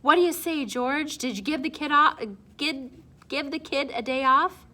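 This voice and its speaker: Woman putting on a brash, high-pitched, slangy uncle. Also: normal speed, sharp tone. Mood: prodding. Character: breezy, teasing, brash uncle, a grown man.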